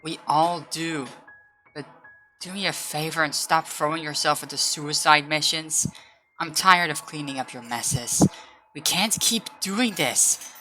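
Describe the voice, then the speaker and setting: A woman's voice: low voice, unhurried, bright, forceful tone, soft background music, rough recording. A woman voicing a blunt, loyal female comrade in a dramatic roleplay, firm and earnest. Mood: firm, determined. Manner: firm, scolding yet earnest. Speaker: voice actor.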